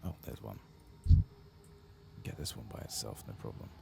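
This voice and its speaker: Man, soft-spoken game commentary, extremely creaky, murmured, noisy background. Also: extremely low pitch, lively intonation, moderate pace. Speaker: gaming streamer. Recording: heavy background noise.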